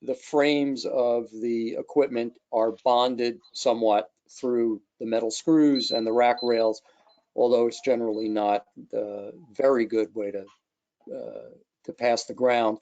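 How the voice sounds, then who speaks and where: A man gives an unscripted technical walkthrough in the role of a product engineer. His voice is mellow and dark, at a middling pitch. The recording is a slightly dull online webcast. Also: fairly even intonation, a little slow. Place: online video call.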